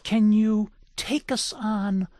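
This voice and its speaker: A man's voice: high voice, steady tone, takes it slowly, dark tone, dry recording. A man hosting a radio interview show, introducing and questioning his guest with enthusiasm. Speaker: radio talk show host.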